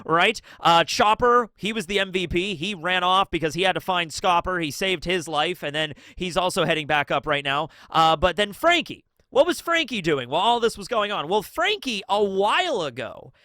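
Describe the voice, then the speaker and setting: Male commentary host, high-pitched and chatty, fighting a cold, with a scratchy, sniffly voice. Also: moderate pace, hardly any pauses, no room sound. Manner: chatty, excitable, asks rhetorical questions. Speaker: channel host. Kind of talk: casual commentary video.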